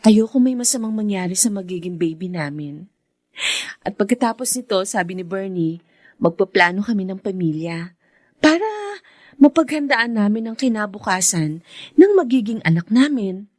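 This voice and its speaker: Woman acting young married daughter, Filipino accent, earnest, radio drama, mid pitch. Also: lively intonation, moderate pace, darkish tone, no room sound, studio quality, lightly acted. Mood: hopeful.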